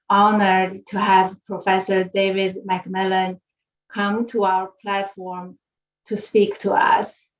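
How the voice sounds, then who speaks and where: A woman moderator reading a formal speaker introduction in a measured way. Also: medium pitch. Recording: muffled lo-fi audio.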